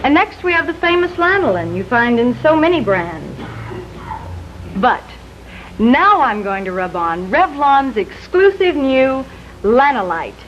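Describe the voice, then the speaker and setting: A woman's voice: high-pitched, very animated, unhurried, faint music behind. A woman giving a scripted product demonstration for a 1950s television commercial. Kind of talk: scripted live television advertising pitch. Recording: dull, lightly noisy 1950s television broadcast.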